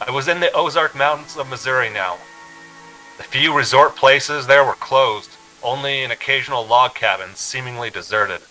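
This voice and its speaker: A man playing a haunted, increasingly desperate driver in a radio drama, brooding and halting as he tells his story. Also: medium pitch, pushed and bright, rough recording. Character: haunted, increasingly desperate male motorist narrating his journey. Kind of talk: dramatic radio play narration. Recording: music behind the voice.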